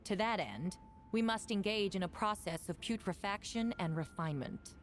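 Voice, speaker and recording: A woman playing a formal, deliberate female prosecutor in a voice-acted video game. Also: medium pitch, natural voice. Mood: grave reading aloud. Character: formal, resolute female prosecutor presenting evidence in court. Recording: game audio with faint music behind.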